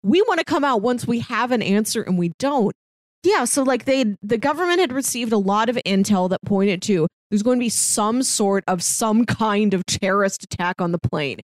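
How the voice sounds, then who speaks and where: A woman's voice: medium pitch, animated, normal speed, dry recording. A woman talking casually as a podcast co-host, recapping a story in a conversational way. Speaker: podcast co-host discussing an audio drama.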